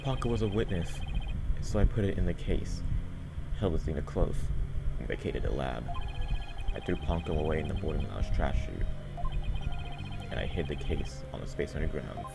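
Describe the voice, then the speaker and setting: Man acting grave protective prosecutor, game character, solemn, earnest, very low gravelly voice, noisy recording. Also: animated, moderate pace, heavily acted. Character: grave, protective male prosecutor shielding a friend.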